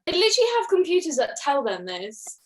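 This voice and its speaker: Chatty, higher-voiced young woman. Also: big pitch swings, a little fast, low-quality recording. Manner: peppers speech with "like". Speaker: podcast co-host. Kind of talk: casual chat.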